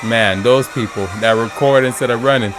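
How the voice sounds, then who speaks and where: A man's voice: medium pitch, normal speed. A man giving a casual, slangy, exclamatory live reaction as he streams game trailers. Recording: music behind the voice. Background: game trailer music.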